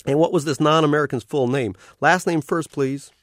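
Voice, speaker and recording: A chirpy cartoon otter bureaucrat. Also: male voice, on the high side, fairly quick, no room sound, clean studio sound, voice pushed up. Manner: sing-song carnival salesman cheer. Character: chirpy electronic male otter, relentlessly cheerful official. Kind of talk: audiobook character voice.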